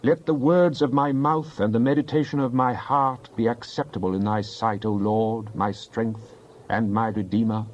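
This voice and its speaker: A man's voice: mid-range voice, fairly even intonation, moderate pace, slight background noise. Solemn man reading scripture, slightly dull audio. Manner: solemn, declamatory delivery. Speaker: scripture reader. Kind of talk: dramatized Bible reading.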